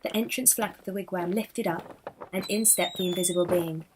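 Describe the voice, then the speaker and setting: A woman storyteller narrating a children's picture book expressively, lingering with deliberate emphasis on key words. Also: on the low side, steady tone, background noise.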